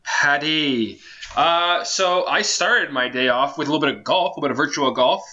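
A man's voice: on the high side, moderate pace, sharp tone, highs cut off. A man co-hosting a podcast in casual chat, joking and teasing. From Canada. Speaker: podcast co-host.

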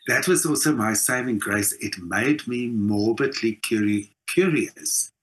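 A man's voice: lowish voice, measured pace, a bit bright, poor audio. South African man in his sixties, emphatic. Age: 60s. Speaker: interview guest, writer and performer. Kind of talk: reflective conversational interview.

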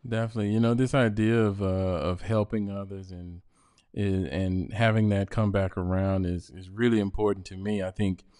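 Male podcast host, low voice, dry close sound, halting with restarts. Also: darkish tone, clear, resonant tone, dry recording. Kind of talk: interview conversation.

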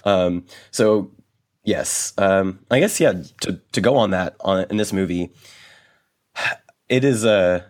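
A man's voice: deep voice, slow, darkish tone. A young man hosting a podcast, his voice a bit worn, talking casually with many hesitations and restarts. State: voice worn after a late party. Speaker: podcast host.

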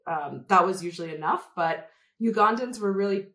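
A woman who answers interview questions thoughtfully and hesitantly, pausing often to choose her words. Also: medium pitch, fairly bright tone. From Canada. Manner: thoughtful, hesitant, many filler pauses. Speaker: interview guest, development consultant. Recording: roomy echoey room.

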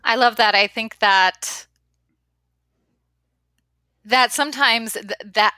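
A woman's voice: on the high side, slow pace, bright, forceful tone, no echo, clean studio sound. A woman hosting a podcast interview, chatty and enthusiastic with playful asides. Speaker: podcast host. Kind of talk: casual interview chat.

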